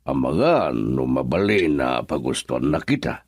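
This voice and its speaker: An actor with an Ilocano accent playing an unhurried, jovial male boss in a radio drama. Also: a bass voice, big pitch swings, darkish tone, slightly rough, dry recording, clean studio sound, full character voice.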